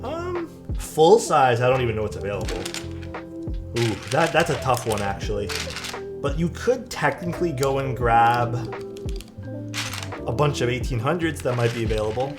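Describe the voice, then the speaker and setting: Casual live-streamer, male, soothing tone, expressive pitch, over steady background music. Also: normal speed, pauses often. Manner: relaxed, chatty, reads viewer chat aloud and answers. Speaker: streamer building a keyboard. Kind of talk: casual live-stream chat while working. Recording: clear background music under voice. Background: music playing and keyboard clacking.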